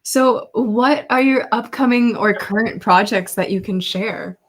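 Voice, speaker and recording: A woman's voice: on the high side, measured pace. A woman hosting a podcast interview in a casual, supportive and affirming way.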